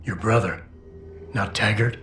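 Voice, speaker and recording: An actor playing a stoic, determined security operative in a video game, speaking in a measured, terse way. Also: male voice, fairly low pitch, fairly bright tone, slight background noise. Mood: terse, guarded. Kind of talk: video game cutscene dialogue.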